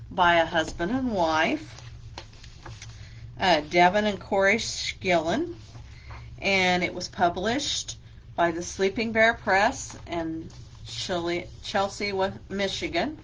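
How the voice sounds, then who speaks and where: A woman librarian leading a children's story time, reading a picture book aloud and explaining gently. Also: mid-range voice, poor audio.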